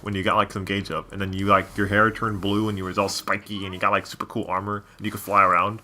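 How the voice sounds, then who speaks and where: A man's voice: lowish voice, little pitch movement, fast talker, sharp tone, a little hiss or hum. A man doing casual gameplay commentary as a co-host, rambling and opinionated like a devoted fan. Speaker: gaming video co-host.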